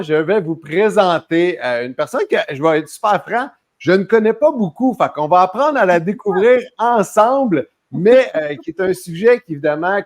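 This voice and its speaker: A man with a Quebec French accent hosting a podcast interview, talking in a loose, chatty way with many hesitations and restarts. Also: sits high. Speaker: podcast host. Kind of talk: casual interview chat.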